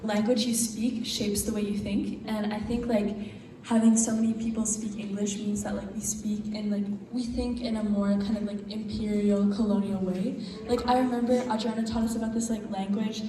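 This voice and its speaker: A woman's voice: medium pitch, flat delivery, moderate pace. A woman student speaking thoughtfully, with many "like" fillers. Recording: distant, echoey hall with noise.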